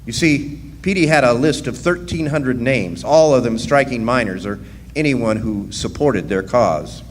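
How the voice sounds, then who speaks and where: A man acts out a plainspoken miner recounting events in a live radio play. His voice sits at a middle pitch, folksy and wry. It rings through a reverberant hall over faint noise. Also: close to an everyday voice. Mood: wry, grave. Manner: folksy, wry, deliberate storytelling. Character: plainspoken drifter miner looking back as the storyteller, wry. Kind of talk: live radio drama, folksy narration and dialogue. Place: live performance in a resort hall.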